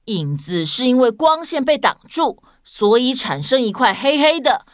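A woman's voice: high voice, big pitch swings, moderate pace, dry recording, clean studio sound. A woman explaining facts to children as a podcast co-host.

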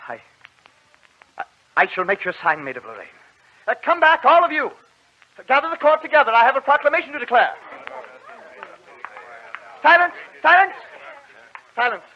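An actor playing a timid, peevish young French prince in an old radio drama, hesitant and fussy. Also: male voice, extremely high pitch, sing-song, normal speed, pauses often. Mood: proclaiming. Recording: dull old radio recording.

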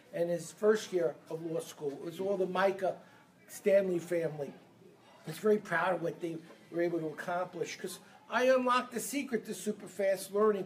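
A man from Brooklyn, giving a lively motivational seminar talk and getting the audience to answer back. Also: high voice, rough recording. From Brooklyn, New York. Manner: energetic, leads audience call-and-response. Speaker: seminar speaker. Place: event room with a live audience.

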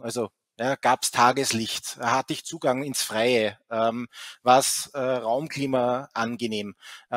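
A man's voice: mid-range voice, no room sound, clean studio sound. A middle-aged man giving a keynote lecture over a video call, talking with many fillers and restarts. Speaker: keynote speaker. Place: office, indoors. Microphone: headset, video call.

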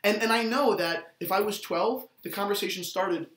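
Bright young American man, higher-pitched voice. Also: moderate pace. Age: early 20s. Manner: animated explainer, frequent "like" and "you know". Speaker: co-host of a watch show. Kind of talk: casual chat. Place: small room indoors, seated at a table. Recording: slightly echoey room.

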